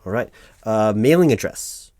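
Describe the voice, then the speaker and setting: A man playing a patient, officious human resources officer, haltingly reading out form fields in a one-sided ASMR roleplay. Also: fairly low pitch, animated, warm tone, no room sound, studio quality. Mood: businesslike. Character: officious, patient male HR officer doing paperwork. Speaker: human resources interviewer filling out forms.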